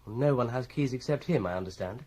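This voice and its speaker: An urbane British solicitor played by a male actor in a radio crime drama. His voice is of middling pitch, measured and arch. He explains office life with dry irony and a faintly superior air. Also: expressive, mellow, natural voice. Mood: wry, explanatory. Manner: arch, drily ironic, measured.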